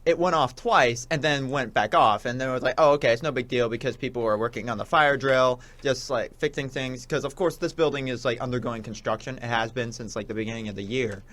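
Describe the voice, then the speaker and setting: A young man with a middle-pitched voice talks casually as a show guest. He rambles through his stories, often hesitating and circling back as he goes. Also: fairly quick, hardly any pauses, a bit bright. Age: young adult. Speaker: returning radio show guest. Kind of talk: casual chat.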